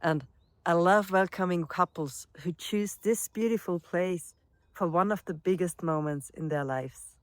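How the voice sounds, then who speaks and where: A woman with an Austrian accent and a slightly low voice introduces her work as a wedding celebrant. Her delivery is scripted but personal, with reflective, measured phrasing. The recording is dry, with very little room sound. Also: no room sound. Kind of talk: promotional self-introduction, scripted narration to camera.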